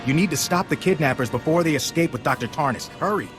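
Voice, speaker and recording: An actor playing a stern military general in a video game, briefing in a grave, commanding manner. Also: male voice, a little high, lively intonation, fast, natural voice. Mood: urgent. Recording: music playing behind.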